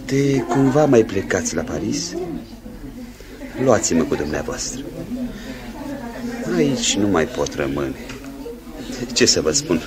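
An actor playing a discontented, snobbish young manservant who complains with disdain in a radio play. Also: male voice, sits low, big pitch swings, long pauses, a crowd in the background. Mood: disdainful pleading. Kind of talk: radio theatre acting. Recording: old radio recording, murmuring background.

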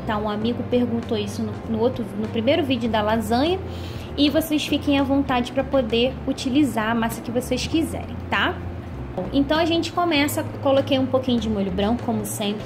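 A Brazilian woman hosting a home cooking tutorial in a chatty voice-over, often checking in with "tá?". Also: on the high side. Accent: Brazilian Portuguese. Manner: chatty, frequent "tá?" check-ins. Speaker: cooking channel host. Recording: music bed underneath.

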